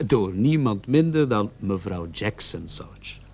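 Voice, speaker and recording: An actor playing a keen, insistent junior police detective in a radio play, speaking pointedly and emphatically. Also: male voice, fairly low pitch, lively intonation, slow pace, darkish tone, heavily acted. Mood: teasing, suspenseful. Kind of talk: Dutch-language radio drama dialogue.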